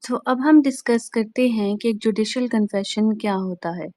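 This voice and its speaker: A woman with a Pakistani accent giving an explanatory law lecture in a deliberate, instructional way, restating each point plainly. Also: on the high side, dark tone, no echo, studio quality. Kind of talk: explanatory lecture mixing Urdu and English.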